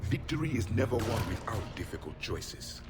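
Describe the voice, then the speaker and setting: A man's voice: medium pitch, big pitch swings, moderate pace, lightly acted. A man playing an ancient alien warrior, last of his people, speaking in a grave, formal, declamatory way in a video game. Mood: solemn, stirring. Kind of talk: video game dramatic acting. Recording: game dialogue over loud music.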